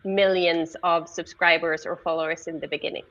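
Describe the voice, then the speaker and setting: Swedish lady hosting a live video chat, bright tense voice, dull lo-fi audio. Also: lowish voice, steady tone, normal speed. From Sweden. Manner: chatty, laughs often. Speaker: host. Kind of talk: casual live-stream chat.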